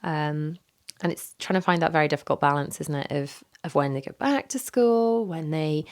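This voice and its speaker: A woman in her thirties hosting her own podcast from a home office, hoarse from a recent illness, chatting candidly with lots of fillers into a microphone. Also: deep voice, moderate pace. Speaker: podcast host. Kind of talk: casual solo podcast chat. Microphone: studio microphone.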